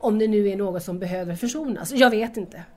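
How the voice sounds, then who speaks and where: A woman's voice: medium pitch, a little mellow, natural voice. A woman playing a hurt, anxious young woman in an audio drama, speaking earnestly and formally to estranged lovers. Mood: grieving, disappointed. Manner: earnest, wounded, formal address.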